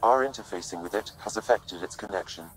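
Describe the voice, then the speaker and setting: Game AI: man as composed machine intelligence, lowish pitch, precise, formal, music underneath. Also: fast, natural voice, low-quality recording. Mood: calm, factual. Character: calm male artificial intelligence assistant, formal and factual. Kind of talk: video game voice acting.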